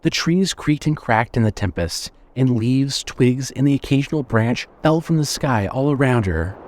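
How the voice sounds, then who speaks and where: A man's voice: mid-range voice, expressive, moderate pace, darkish tone, a little hiss or hum. A man reading scripted horror fiction as the narrator of an audio drama.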